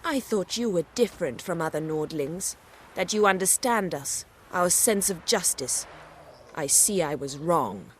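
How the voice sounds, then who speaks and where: Casting: stern, haughty woman of an empire, slightly low voice, measured formal speech, game dialogue over faint ambience. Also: lively intonation, slight background noise. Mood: disappointed, resigned. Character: proud, stern, vengeful imperial woman.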